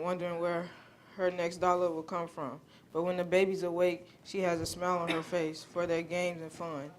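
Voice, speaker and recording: Young woman reading a poem, even tone, bit of rumbly noise behind her. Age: young adult. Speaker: student performer. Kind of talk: poem recitation.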